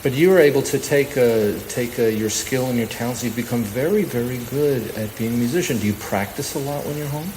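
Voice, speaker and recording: A man hosting a live radio show on stage, introducing and interviewing guests with halting, repetitive phrasing. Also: medium pitch, noisy background. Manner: halting, hesitant phrasing with repeated words.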